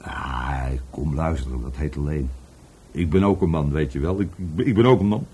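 A man's voice: rumbling low, normal speed, warm tone, husky. An actor in a Dutch radio play playing a drunken, bitter divorced man who rambles, stammers and repeats himself. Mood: bitter, slurring. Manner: stammering, repetitive, tipsy.

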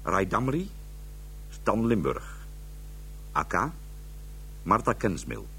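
A man reading scripted radio drama credits as an announcer, in a formal, deliberate way. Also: on the low side, huge pitch swings, sharp tone, slight background noise.

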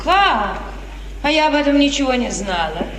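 A proud, anxious noble mother, fusses grandly in a fairly high, sweeping, exclamatory voice. It sounds like 1950s radio theatre, noisy and set back in a reverberant hall. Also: very animated, moderate pace, far from the mic. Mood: soothing surprise.

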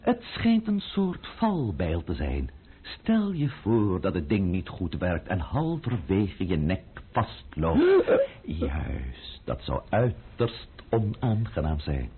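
Menacing radio play schemer, mocking and theatrical, slow talking with huge swings in pitch. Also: male voice, wildly swooping pitch, narrow, tinny audio, a little hiss or hum, heavily acted. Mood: coolly menacing. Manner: sardonic, theatrical, deliberate. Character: cunning, menacing revolutionary schemer, mocking man of power.

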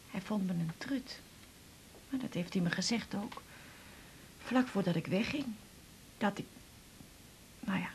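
A woman's voice: medium pitch, darkish tone, slight background noise. An actress playing a hurt, chatty middle-aged mother confiding in a colloquial, rambling way, in a naturalistic radio drama. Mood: hurt, subdued. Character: hurt, chatty mother back from a failed holiday.

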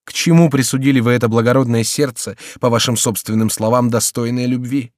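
A man's voice: mid-range voice, steady tone, a little fast, darkish tone, no echo, studio quality, pitched above the performer's own voice, lightly acted. A man voicing an anguished young count. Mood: despairing. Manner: impassioned, pleading, declamatory. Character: young male count, lovesick and despairing.

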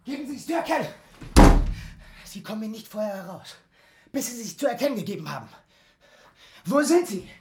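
An actor playing an ardent, jealous young aristocrat in a radio drama, formal and insistent. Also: male voice, high-pitched, big pitch swings, brisk, long pauses, heavy background noise. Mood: furious, jealous.

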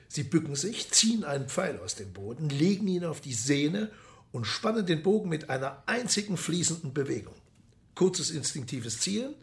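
An actor playing a matter-of-fact arms expert, explaining precisely in a radio play. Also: male voice, a little high, vocal fry.

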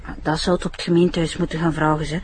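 A woman's voice: low voice, flat delivery, racing, natural voice. A woman with a Flemish accent playing a flustered, gossipy village wife with a rambling, homely manner in an audio drama. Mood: indignant, gossipy. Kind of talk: character acting in audio drama. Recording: faint background noise.

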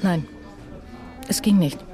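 A woman's voice: slow pace, music in the background, natural voice. An actress playing a withdrawn, defensive grieving mother in a radio drama, speaking in a curt manner.

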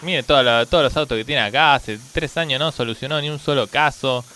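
A man plays an indignant car owner with an Argentine accent. The voice is mid-pitched, bright and crisp, scolding and insisting in the manner of a radio comedy sketch. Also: a little fast, close to an everyday voice. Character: exasperated, indignant male car owner scolding his mechanic.

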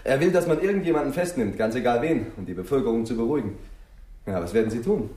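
An actor playing an eager, dutiful junior police inspector who reports briskly in a 1960s German radio crime drama. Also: male voice, mid-range voice, animated, warm tone, husky. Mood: agitated.

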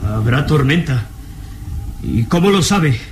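A man's voice: mid-range voice, big pitch swings, takes it slowly, a little hiss or hum. An actor playing an unstable, resentful young man with an agitated manner in a radio drama. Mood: anxious. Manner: agitated, stammering repetitions when rattled. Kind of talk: vintage radio drama acting.

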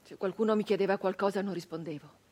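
An actress playing a grieving, traumatized 19th-century peasant woman in a theatrical radio monologue, halting and intense, with breathy speech that can sink toward a whisper. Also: medium pitch, darkish tone, natural voice. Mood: mournful, subdued. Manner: halting, intense, repeating phrases obsessively.

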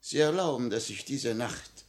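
A man's voice: medium pitch, lively intonation, moderate pace, close to an everyday voice. A man acting a smugly courteous, manipulative police minister with mocking politeness in a 1950 radio play. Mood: smug, patient. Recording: vintage 1950 radio recording.

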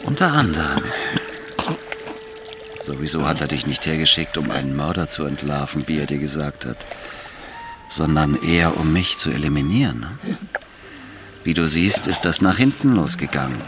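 An actor playing a smug, menacing Soviet party boss in a German radio drama, with a mocking, condescending and unhurried delivery. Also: male voice, very deep voice, expressive, noisy background. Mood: mock regret.

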